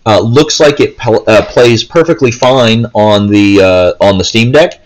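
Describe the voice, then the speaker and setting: Male gameplay host: lowish voice, informal, unscripted, meandering and hesitant. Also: unhurried, low-quality recording. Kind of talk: casual gameplay commentary. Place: indoors, in a room while playing a handheld console.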